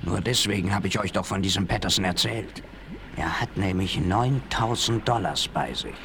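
An actor playing a scheming, bossy outlaw gang leader in a conspiratorial, commanding manner in a 1960s radio play. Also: male voice, deep voice, moderate pace. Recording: noisy 1960s vinyl record rip.

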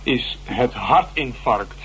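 Slightly noisy old radio play with hum. a bookish man of medium pitch, musing and teasing, with a smug curiosity. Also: expressive, slow, a bit bright. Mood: studious. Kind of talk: Dutch radio drama acting.

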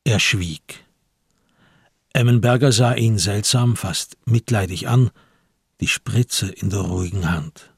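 A man narrating a literary crime story for a radio play in a husky voice, measured and grave. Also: fairly low pitch, dry recording, studio quality, full character voice. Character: sober male storyteller of a crime tale.

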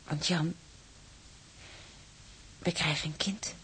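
A young sailor's wife, gentle and devoted. She speaks softly and intimately on the low side for a woman, in radio drama style, with faint hiss on the recording. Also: steady tone, slow. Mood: hesitant. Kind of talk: Dutch radio drama acting.

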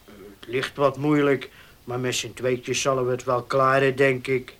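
An actor playing a humble, easily cowed older horse handler in a Dutch radio play, hesitant and deferential. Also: male voice, mid-range voice, little pitch movement, unhurried, fairly bright tone, lightly acted. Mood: protesting.

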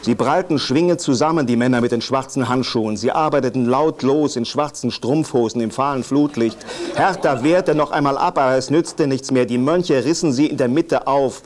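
A man's voice: mid-range voice, moderate pace, darkish tone, slight background noise. A man reading a literary text written as a parody of football commentary.

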